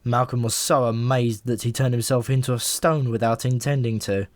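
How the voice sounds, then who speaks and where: A man's voice: mid-range voice, steady tone, moderate pace, no room sound. A man reading scripted narration from a comic fantasy novel as an audiobook narrator.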